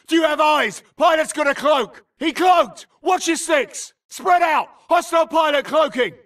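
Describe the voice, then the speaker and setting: A man's voice: extremely high pitch, fairly bright tone, recorded from a distance. A man playing an urgent, tough infantry soldier in a video game, barking clipped combat callouts. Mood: alert, urgent. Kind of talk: shouted military combat barks.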